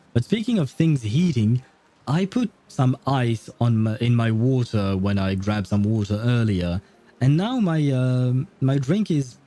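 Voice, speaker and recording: A man's voice: medium pitch, dark tone. A man giving casual, chatty and opinionated commentary as he livestreams a game.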